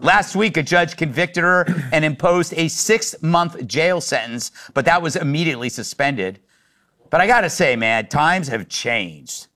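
A middle-aged man hosting a comedic panel talk show, telling jokes with a sardonic, deadpan delivery. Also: a little high, measured pace.

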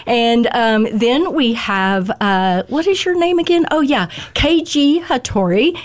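A slightly hoarse woman guesting on a radio show, chatting as she introduces some young ball players. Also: fairly high pitch, animated, slow. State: a little hoarse from yelling. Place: radio studio.

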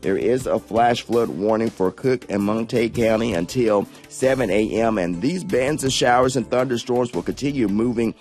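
A man's voice: medium pitch, moderate pace, few pauses, darkish tone, faint music behind. A man, a meteorologist giving an upbeat, chatty weather report on radio. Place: radio studio.

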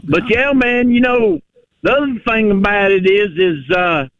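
A man's voice: sits high, unhurried, a bit bright, clean tone, no room sound, highs cut off. A man, rambling in casual chat and stuffing his talk with you-knows.

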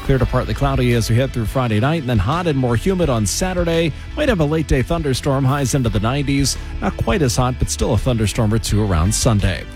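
A man's voice: mid-range voice, expressive, quick pace, few pauses, a little mellow, soft background music. A man delivering a radio weather forecast as a meteorologist, upbeat and conversational.

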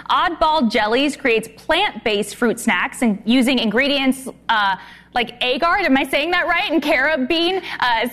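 A woman's voice: on the high side, fairly bright tone. A woman anchoring a morning news show, friendly and upbeat as she interviews a guest.

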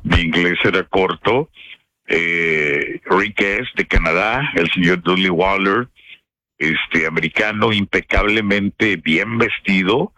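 A man from northern Mexico with a northern Mexican accent, a radio broadcaster telling anecdotes in a casual interview with expressive, slangy speech. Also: low voice, slow pace, no echo. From Piedras Negras, Mexico. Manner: colloquial, expressive storyteller with slang.

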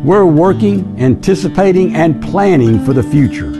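A man's voice: fairly high pitch, expressive, moderate pace, music in the background. A man reading a radio advertisement in an earnest corporate tone. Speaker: ad announcer. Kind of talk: radio ad read.

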